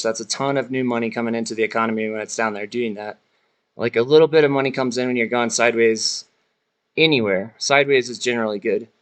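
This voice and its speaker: A man in his thirties giving casual, informal market chart commentary in a halting way with frequent pauses. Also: mid-range voice, fairly bright tone. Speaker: investing vlogger.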